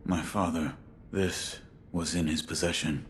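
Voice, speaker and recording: An actor playing a stoic, loyal young shinobi in a video game, speaking tersely and formally in a hushed, solemn voice. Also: male voice, sits low, fairly even intonation, measured pace, a little hiss or hum. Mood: solemn, restrained. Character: stoic, loyal young shinobi warrior serving his lord. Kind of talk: video game cutscene acting.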